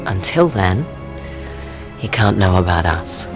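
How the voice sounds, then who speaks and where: A woman's voice: very deep voice, very animated, slow pace, music in the background, heavily acted. An actress playing a flirtatious, scheming female thief with a sly, teasing manner in a video game cutscene. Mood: conspiratorial.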